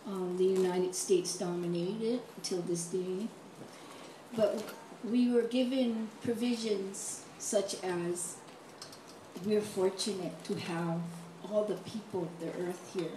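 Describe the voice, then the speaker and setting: An older woman from Hawaii speaking reflectively at an audience mic, in halting, deliberate and solemn phrases. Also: mid-range voice, dark tone. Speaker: audience member asking a question. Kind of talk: reflective personal speech at an open mic. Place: large echoey room, event audience mic. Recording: echoey, distant mic, noisy room.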